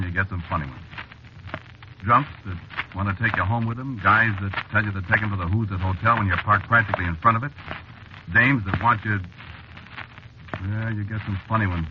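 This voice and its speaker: An actor playing a talkative, uneasy American cab driver with slangy, ungrammatical speech in an old radio drama. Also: male voice, lowish voice, lightly acted. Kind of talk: old-time radio drama acting, first-person narration and dialogue. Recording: muffled, noisy vintage radio recording.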